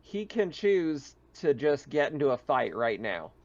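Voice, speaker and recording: A man's voice: on the high side, big pitch swings, measured pace, muffled recording. A man running a tabletop roleplaying game, explaining rules and narrating in a casual, conversational way. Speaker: game master.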